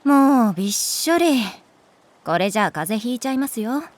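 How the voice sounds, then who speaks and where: A woman's voice: a little high, lively intonation, normal speed, no echo, natural voice. A woman playing a pragmatic, teasing female schoolteacher in a Japanese audio drama, moving between polite firmness and casual bluntness. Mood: firm, polite, exasperated.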